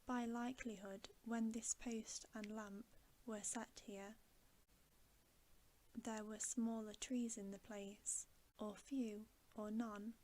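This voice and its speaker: A woman voicing an earnest, reflective young queen in a storybook, softly, with polite, formal phrasing. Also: a little high, level tone, slow, a little hiss or hum, poor audio. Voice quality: soft, hushed.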